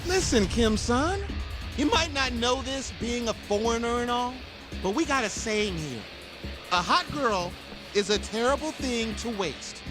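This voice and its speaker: Hot-headed young gangster, very high voice, swooping delivery, cocky slang, video game cutscene, music behind. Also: male voice, expressive, a little slow. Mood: cocky taunting. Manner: loud, cocky, slangy bluster. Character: brash, hot-headed young male street gang thug.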